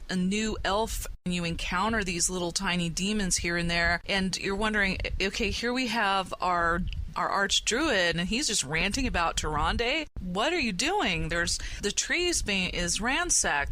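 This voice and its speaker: Musing woman with a bright, forward voice. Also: fairly low pitch, poor audio. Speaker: podcast panelist. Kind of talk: casual discussion of game lore. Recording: clear background noise.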